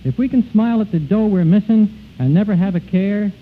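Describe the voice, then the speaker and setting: A man's voice: high-pitched, lively intonation, brisk. An American man reciting as a comic sidekick on a 1940s radio variety show. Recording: tinny, noisy 1940s radio broadcast.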